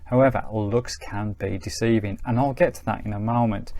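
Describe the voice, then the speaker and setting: A man's voice: on the low side, fairly even intonation, normal speed, a little mellow. A man giving a casual hands-on gadget review in a chatty, unscripted, explanatory way. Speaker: product reviewer.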